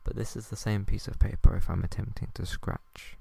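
Soft-spoken deep gravelly man. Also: steady tone, fairly quick, very noisy. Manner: soft-spoken, hesitant, many ums. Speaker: podcast host. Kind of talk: first attempt at ASMR, quiet podcast chat.

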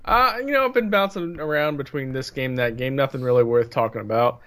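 A man's voice: mid-range voice, fairly quick, fairly bright tone. A man co-hosting a casual podcast chat, talking in an emphatic, exasperated way. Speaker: podcast co-host.

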